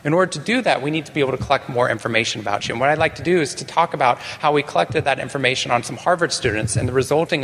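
A man from Texas giving a conference talk to a live audience, animated and self-deprecating, with some restarts. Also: fairly high pitch, long reverb tail. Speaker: conference speaker. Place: large conference hall.

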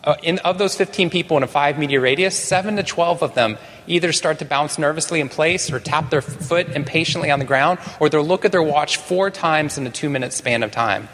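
A man from Texas speaks from a conference stage with a somewhat high voice. He is animated and uses dry, self-deprecating humor. His voice carries a long reverb through the big hall. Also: a little fast, slight background noise. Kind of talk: keynote talk to a live audience.

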